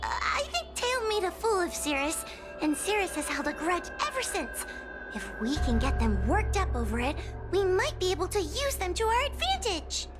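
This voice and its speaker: A woman's voice: very high-pitched, sing-song, moderate pace, over music. A woman voicing a timid, anxious young fox girl in a video game, hesitant and nervous. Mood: earnest explaining.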